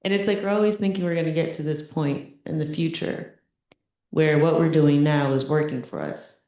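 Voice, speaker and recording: A woman's voice: deep voice, moderate pace, dark tone. A middle-aged woman giving a chatty Buddhist teaching, often checking in with "right?" and laughing lightly. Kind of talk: conversational dharma talk. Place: meditation center teaching room. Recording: very echoey room.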